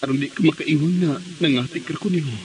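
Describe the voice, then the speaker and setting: A man's voice: on the high side, fast, darkish tone, slight background noise, close to an everyday voice, low-quality recording. A man with a Visayan Filipino accent playing a brooding, devoted young man in a dramatic radio play. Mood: brooding, determined.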